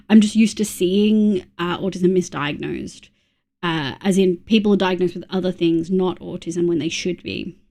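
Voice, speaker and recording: A young woman streaming, chatting with viewers in a relaxed, supportive way while congested from a cold. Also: medium pitch. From Australia. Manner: chatty, supportive, thinks aloud with fillers. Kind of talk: casual chat reading viewer messages.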